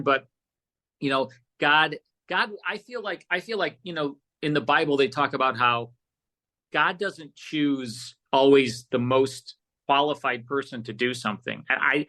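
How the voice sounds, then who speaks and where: A man's voice: medium pitch, pushed and bright. A middle-aged American man answering casually as an interview guest, earnest and emphatic, often repeating his phrases. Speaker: podcast guest, radio host and producer.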